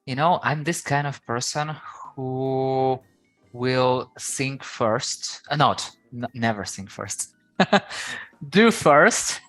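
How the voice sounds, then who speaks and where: A man's voice: medium pitch, fairly bright tone, dry recording. A young man with a Ukrainian accent, a podcast guest chatting casually and rambling a little, correcting himself and laughing easily. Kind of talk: casual interview chat.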